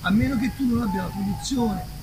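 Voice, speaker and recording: A man's voice: high-pitched, a little fast. A man in his sixties making an impromptu, insistent complaint from the audience at a live event. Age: 60s. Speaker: audience member, publicist. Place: outdoor festival. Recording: noisy live outdoor recording.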